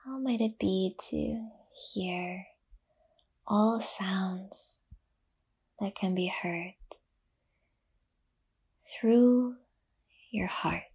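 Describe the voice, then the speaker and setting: A woman leading a guided yoga nidra meditation in a soft, breathy half-whisper, gently and with long pauses. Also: mid-range voice.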